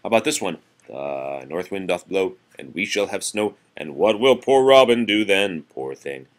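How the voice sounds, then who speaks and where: Low-voiced man reading nursery rhymes aloud. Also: expressive, moderate pace. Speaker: parent reading aloud to a young child. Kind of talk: read-aloud of nursery rhymes for a child, with casual asides.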